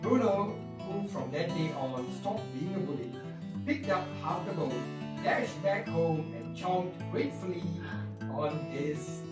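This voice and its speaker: Animated, high-voiced male storyteller. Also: slow pace. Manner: expressive, speaks directly to the audience. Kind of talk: dramatised storytelling for children. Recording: roomy, distant mic with faint music.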